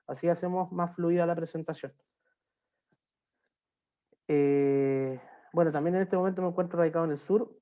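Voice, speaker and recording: A man with a Chilean accent giving an online talk on beer as a sommelier, relaxed and friendly with frequent hesitations. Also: on the high side. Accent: Chilean Spanish. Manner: relaxed, friendly, lots of filler "eh". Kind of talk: online presentation, chatty lecture. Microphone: computer mic on a video call. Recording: dull lo-fi video call audio.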